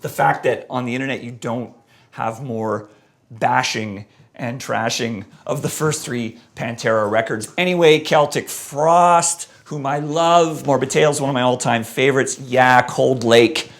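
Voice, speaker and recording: A man hosting a live-stream debate show, reading out viewer comments in an energetic, joking way, his voice a little hoarse. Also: medium pitch, a little slow. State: voice going a bit hoarse. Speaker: host.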